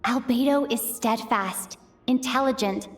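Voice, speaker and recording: A woman's voice: fairly high pitch, unhurried, close to an everyday voice. A woman voicing a thoughtful young female traveler who works methodically through clues in an inner monologue for a fan dub. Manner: methodical, reasoning aloud, questioning herself. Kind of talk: fan voice acting of a game cutscene, inner monologue.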